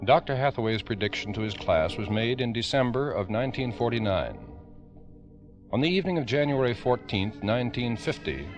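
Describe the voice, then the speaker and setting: A man giving solemn, ominous, deliberate scripted narration in the style of old-time radio drama. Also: mid-range voice, animated, highs cut off. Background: organ music.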